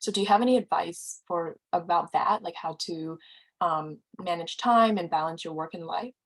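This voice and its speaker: A woman's voice: lowish voice, normal speed, poor audio. A woman interviewing a guest writer, asking friendly questions full of "um" and "like". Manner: friendly, filler-heavy questions. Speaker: student interviewer.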